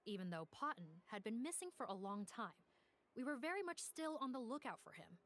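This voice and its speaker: A woman playing a composed police officer in a video game, speaking in a formal, measured, matter-of-fact way. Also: a little high, sing-song, a bit bright. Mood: firm. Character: composed female police officer reporting on a case. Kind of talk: scripted video game dialogue.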